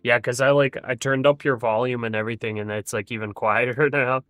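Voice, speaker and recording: A man hosting a live day-trading stream, thinking aloud over charts, often trailing off into quiet remarks and laughing easily. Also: medium pitch, steady tone, brisk, no room sound. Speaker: day-trading livestream host. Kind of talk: live trading commentary with guests.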